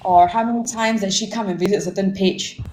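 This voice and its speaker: A woman's voice: mid-range voice, steady tone, fast talker, a little mellow. A forty-year-old woman with a Malaysian accent, talking conversationally about herself to listeners and checking in with them as she goes. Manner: conversational, addresses listeners, tags statements with "right?". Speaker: interview guest, marketing technology consultant. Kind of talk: informal self-introduction and career account. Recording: echoey room with faint background noise.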